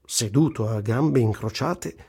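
A man's voice: mid-range voice, measured pace, vocal fry, darkish tone, slightly rough. An actor reciting as a wry, earthy, self-mocking male war journalist, in a dramatic literary radio-theatre reading. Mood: amused, self-mocking. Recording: voice over prominent music. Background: music.

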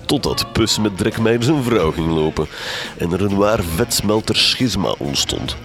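A man's voice: fairly low pitch, animated, normal speed, over music. A man with a Flemish accent playing a pompous, sardonic, scheming doctor with florid speech in a gothic comedy radio drama. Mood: sardonic gossip. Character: pompous, scheming doctor, grandmaster of a secret society.

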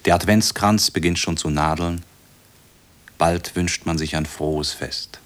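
An actor playing an observant storyteller, narrating a literary radio play in a measured, descriptive way. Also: male voice, low-pitched, steady tone. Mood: observant, sober. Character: observant male storyteller of a Christmas street scene.

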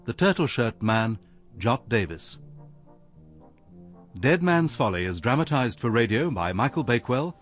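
A man with an English accent reading scripted radio drama credits in a formal, measured way. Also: medium pitch, sing-song, faint music behind. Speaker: continuity announcer.

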